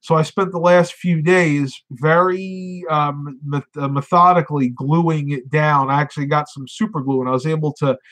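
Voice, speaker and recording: A man's voice: fairly high pitch, fairly even intonation, moderate pace, few pauses, fairly bright tone. A man hosting a casual live stream, chatting and reading viewer comments with lots of fillers, sounding congested as he loses his voice.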